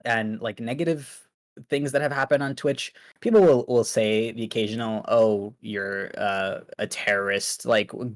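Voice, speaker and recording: A young man from Pakistan, Urdu his first language, talking loosely as a podcast guest with lots of fillers. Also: on the low side. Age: young adult. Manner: laid-back, loose, filler-heavy with many likes and ums. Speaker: guest streamer. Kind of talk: casual podcast interview.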